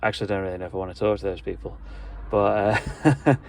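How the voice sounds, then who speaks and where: A man's voice: lowish voice, fast, creaky, a little mellow, a little hiss or hum. A man giving a casual, mild and self-deprecating vlog talk. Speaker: video host.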